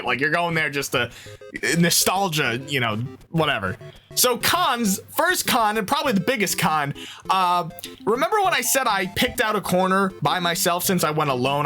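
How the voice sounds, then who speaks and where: A young man rambling through a casual movie review, animated and quick to interrupt himself. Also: high-pitched.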